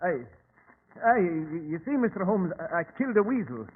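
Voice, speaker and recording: Gruff gardener, high-pitched, tinny radio. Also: male voice, very animated, moderate pace. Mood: nervous, evasive. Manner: rustic, ominous. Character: gruff, suspicious, foreboding Scottish male gardener. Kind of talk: old-time radio drama acting. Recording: tinny, noisy old radio recording.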